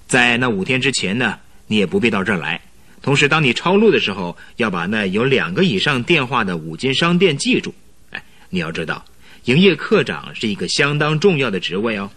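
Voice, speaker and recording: An actor playing a hearty, scheming company director in a radio drama, with a robust husky voice and a patronizing, persuasive, chuckling manner. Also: male voice, medium pitch, sing-song, a little fast, pushed and bright. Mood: commanding, smooth. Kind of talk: Mandarin radio drama acting.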